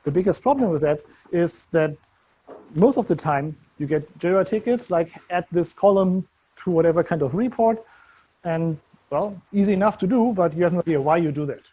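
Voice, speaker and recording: A man's voice: sits high, normal speed, mellow, lo-fi sound. A man from Germany, speaking English with a German accent, gives a loose, searching conference presentation full of ums and restarts. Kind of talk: tech meetup presentation.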